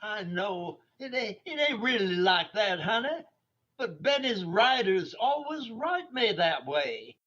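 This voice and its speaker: A man's voice: high voice, sing-song, slow, sharp tone, heavily acted. An actor playing a brash, flirtatious, conceited male bandleader with a Southern accent in a radio comedy. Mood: cocky. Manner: jive-talking, cocky. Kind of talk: 1940s radio comedy acting. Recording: dull online radio-play recording.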